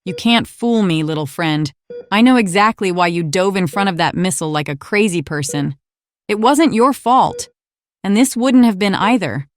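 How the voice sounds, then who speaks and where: A woman's voice: medium pitch, moderate pace, lightly acted. A woman playing a plucky, affectionate warplane in an audio drama, confident, fond and teasing. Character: plucky, affectionate older-sisterly female warplane.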